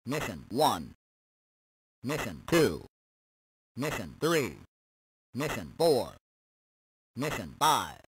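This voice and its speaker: An actor playing a military space command controller in a video game, giving terse, measured, businesslike callouts. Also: male voice, mid-range voice, big pitch swings, fairly bright tone, husky, no room sound, full character voice, rough recording. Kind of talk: clipped video game announcements.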